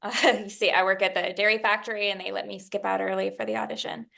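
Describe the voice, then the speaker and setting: A woman's voice: medium pitch, level tone, fast, vocal fry, pushed and bright. A woman playing an eager, apologetic young female factory worker in a screenplay table read, hesitant and polite. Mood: nervous, friendly.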